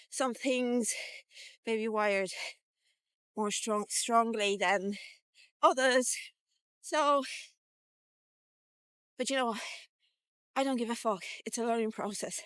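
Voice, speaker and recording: A middle-aged woman talks in a dry, close acoustic with very little room sound. Her English is non-native, coloured by both Irish and British pronunciation. She speaks very slowly in halting fragments, thinking aloud as a casual vlogger, in a slightly high voice. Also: a bit bright, clear, resonant tone, no echo. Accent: non-native English with Irish and British influences. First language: not English. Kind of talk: casual solo vlog monologue.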